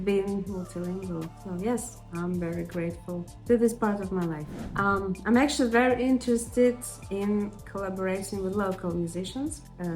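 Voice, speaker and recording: A woman from Russia, a native Russian speaker with a Russian accent, answering interview questions as an independent musician in a thoughtful way, pausing on "uh" and restarting words. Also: mid-range voice, a little mellow, low-quality recording.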